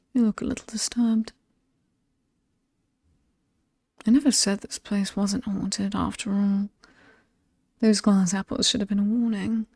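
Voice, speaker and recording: Alluring she-devil of a haunted estate, acted by a woman, British, mocking, mellow and breathy, very close and dry, horror ASMR. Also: medium pitch, dry recording, exaggerated voice. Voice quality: breathy, often whispered, soft. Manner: slow, taunting, teasing. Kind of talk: horror ASMR roleplay, scripted monologue.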